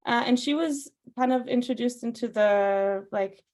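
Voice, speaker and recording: A woman's voice: fairly high pitch, a little slow, darkish tone. Chatty young woman, Farsi native, laughing. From Austria. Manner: chatty, laughs often, many "like" and "um". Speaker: singer, podcast guest. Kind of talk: casual interview chat.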